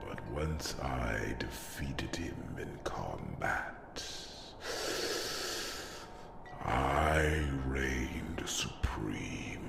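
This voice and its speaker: An ancient male dragon king, with an abyssally low voice thick with gravel. He speaks very slowly and breathily, in halting, regretful phrases suited to an ASMR fantasy tale. The voice echoes, with music behind it. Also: animated, full character voice. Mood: brooding, regretful. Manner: slow, halting, solemn storytelling. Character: old male dragon, former Alpha king, solemn and regretful. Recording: echoing voice over music and chimes.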